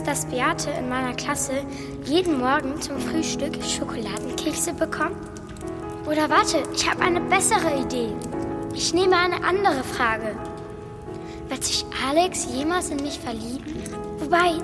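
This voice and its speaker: A schoolgirl space adventurer, high-voiced and unhurried, in a long cave-like reverb. She sounds earnest, inquisitive and a little dithering, as in a children's audio drama. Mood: eager, flustered. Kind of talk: children's radio play acting.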